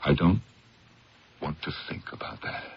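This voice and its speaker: Very deep-voiced troubled husband. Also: male voice, huge pitch swings, heavily acted. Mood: anguished, desperate. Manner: halting, hesitant, confessional. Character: troubled married lawyer, ashamed, burdened by a secret. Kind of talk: 1970s radio drama acting. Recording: dull, hissy old radio broadcast.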